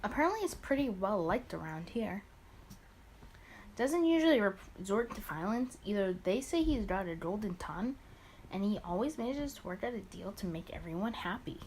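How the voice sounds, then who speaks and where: A woman's voice: medium pitch, animated, moderate pace, a little mellow, a little hiss or hum, rough recording. A woman hosting a let's play, reading a visual novel aloud expressively with casual muttered asides and small stumbles.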